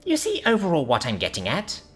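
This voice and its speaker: Man doing a high wise old rabbit teacher, gentle lecturing, wildly swooping pitch, storybook reading. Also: huge pitch swings, a little fast, faint music behind. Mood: earnest.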